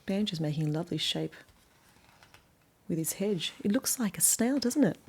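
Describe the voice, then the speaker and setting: A woman with an Australian accent reading a picture book aloud to young children, with gentle, often hushed asides. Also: fairly low pitch, lively intonation, moderate pace, breathy edge. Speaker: children's story reader.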